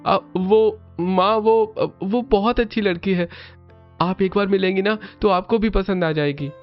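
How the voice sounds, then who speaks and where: Man acting a flustered, high-pitched young man. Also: measured pace. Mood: nervous. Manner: exclaiming, hesitant stammering when pressed. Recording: muffled audio over music.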